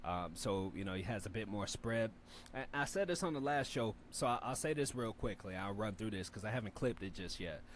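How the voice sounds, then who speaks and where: A man with a deep voice hosting a rap review show, giving loose, rambling feedback with lots of fillers and the odd curse. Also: expressive, fairly quick, a little hiss or hum. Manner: laid-back, rambling, profane, lots of fillers. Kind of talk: casual spoken feedback and mixing tips.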